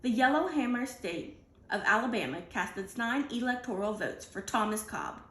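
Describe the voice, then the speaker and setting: A woman reading a children's picture book aloud in an animated, deliberate storytelling manner. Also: on the high side, echoey room. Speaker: storybook reader.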